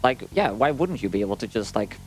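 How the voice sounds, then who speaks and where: A man's voice: fairly low pitch, big pitch swings, fast, poor audio. A man chatting casually as a co-commentator on a video game run, in a loose, hesitant way full of "like". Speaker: co-commentator at a speedrun. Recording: game music behind the voice. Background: video game music.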